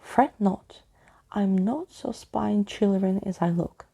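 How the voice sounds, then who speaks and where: A woman playing a grandiose, courtly old male skeleton who tells tall tales in a florid, breathy storybook manner. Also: medium pitch, warm tone. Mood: polite, reassuring. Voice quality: breathy, husky. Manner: theatrical, florid, slow.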